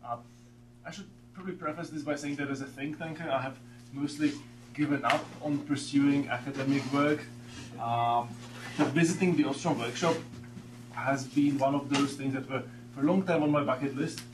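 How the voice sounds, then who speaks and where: A man's voice: mid-range voice, steady tone, moderate pace. A middle-aged man from Slovakia with a Slovak accent, giving an academic lecture in a seminar room and often repeating words as he searches for the next phrase. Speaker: guest lecturer. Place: seminar room with a long table. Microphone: far room camera. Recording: echoey room from far off, background hum.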